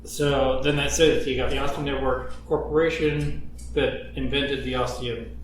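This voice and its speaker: A man's voice: medium pitch, fairly even intonation, measured pace, heavy reverb, distant mic, slight background noise, rough recording. A man hosting a casual podcast chat, explaining things step by step and laughing often. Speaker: podcast writer and producer.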